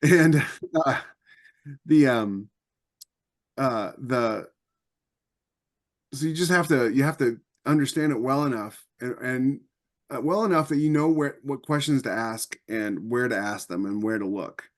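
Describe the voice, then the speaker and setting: A man with a mid-range voice in casual discussion. He tells things anecdotally and with firm opinions, often halting and starting again as he goes. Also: medium pitch. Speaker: podcast panelist.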